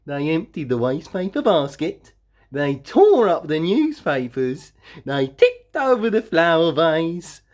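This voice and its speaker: A woman reading a children's story aloud expressively, with dramatic emphasis and drawn-out words. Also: low-pitched, very animated, darkish tone. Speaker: storybook reader.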